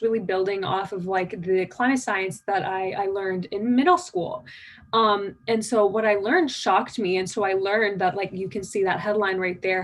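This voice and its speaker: A nineteen-year-old woman from the United States giving a conversational conference keynote, eager and full of fillers. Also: mid-range voice. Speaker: keynote speaker.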